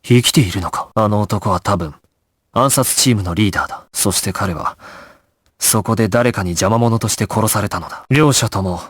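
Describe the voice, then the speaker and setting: A man's voice: lowish voice, moderate pace, dry recording, studio quality, close to an everyday voice. A male actor playing a resolute, composed young gangster squad leader in an anime video game, terse and authoritative in his clipped orders. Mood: grave, composed. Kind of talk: Japanese anime game voice acting, dramatic.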